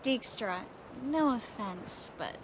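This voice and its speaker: An actress playing a self-assured, tender young sorceress in a video game, speaking earnestly and expressively. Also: female voice, a little high, lively intonation, takes it slowly, background noise. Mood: warm, resolved. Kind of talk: video game cutscene dialogue.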